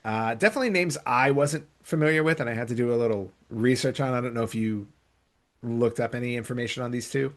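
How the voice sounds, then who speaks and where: A man's voice: mid-range voice, fast talker. A man speaking as a podcast host in casual conversation, rambling and often rephrasing himself mid-sentence. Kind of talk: casual conversational podcast talk about entertainment news.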